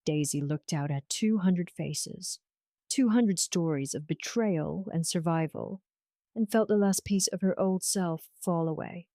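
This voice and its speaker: A woman's voice: on the low side, measured pace, dark tone, no echo, studio quality. A woman storyteller reading a scripted romance story as narration.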